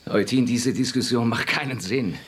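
A man's voice: mid-range voice, normal speed, fairly bright tone, slight background noise, close to an everyday voice. An actor playing an arrogant male chemist in a radio drama, snide and defensive. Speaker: scientist.

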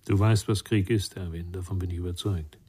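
Low, husky older man, reflective. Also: steady tone, brisk, darkish tone. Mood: reflective, gentle. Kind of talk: German radio crime drama acting.

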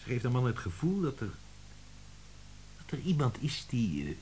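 An actor plays a sardonic, blustering man in a radio play. His mid-pitched voice swings hugely up and down. He speaks in halting, theatrical exclamations with a sentimental streak, on a slightly noisy, humming recording. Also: very animated, darkish tone, close to an everyday voice. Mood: rueful. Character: blustering, sardonic male publishing colleague, secretly sentimental. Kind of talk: Dutch radio play acting.

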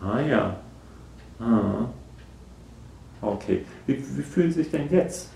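A man's voice: mid-range voice, expressive, takes it slowly, gravelly, darkish tone, roomy sound, a little hiss or hum, exaggerated voice, low-quality recording. An actor playing a psychologist in a naturalistic radio drama, hesitantly probing and repeating back what he is told. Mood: mildly skeptical. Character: evasive male psychologist, soft, probing, echoing every answer. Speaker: psychologist conducting an assessment.

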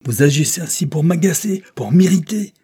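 A man voicing an irate, stubborn older judge who shouts and hectors. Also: lowish voice, big pitch swings, moderate pace. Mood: furious.

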